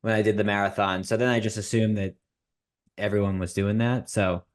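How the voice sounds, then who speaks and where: Male podcast host, thirties, low voice, loose and jokey. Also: fairly even intonation, fairly quick. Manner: candid, jokey, self-deprecating. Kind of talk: casual podcast chat.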